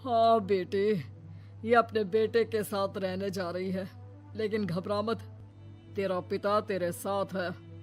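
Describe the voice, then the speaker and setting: A woman's voice: mid-range voice, steady tone, slow pace, faint music behind, close to an everyday voice. Woman playing a firm, protective older father-in-law. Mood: reassuring. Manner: firm, reassuring.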